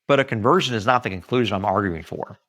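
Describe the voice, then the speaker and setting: A man reads his own writing aloud like an audiobook author. His pitch moves widely from phrase to phrase, and his delivery is earnest and emphatic, with something of the preacher in it. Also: expressive, fast, fairly bright tone. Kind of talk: expressive audiobook-style reading.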